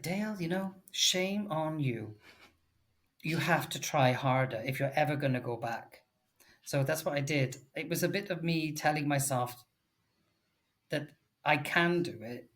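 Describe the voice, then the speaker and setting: A man in his forties from Wales, with a Welsh English accent, chatting casually as an English teacher, animated and full of fillers. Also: on the high side. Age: 40s. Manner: chatty and animated, lots of fillers and self-corrections. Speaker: English teacher and podcast guest. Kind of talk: casual conversational chat.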